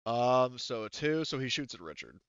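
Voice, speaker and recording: A man acting as game master, casually running a tabletop game and thinking aloud in a halting way, on slightly dull audio. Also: medium pitch, expressive, fairly bright tone, clear, resonant tone, no room sound. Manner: halting, talks through rules while thinking aloud.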